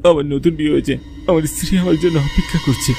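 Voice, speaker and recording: A man's voice: on the high side, fairly even intonation, fast, gravelly, music in the background, pitched above the performer's own voice. A man voicing a terrified, newly married man in a horror cartoon, fearful and pleading. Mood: desperate pleading.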